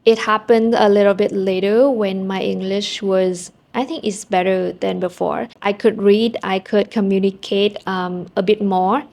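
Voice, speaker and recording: A woman's voice: medium pitch, steady tone, a little slow, creaky, hardly any pauses, a little mellow. A young woman from Vietnam with a Vietnamese accent, speaking English as a second language and telling personal stories to camera in a candid, self-deprecating way. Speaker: vlogger. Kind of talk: casual storytelling to camera. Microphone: small handheld camera mic.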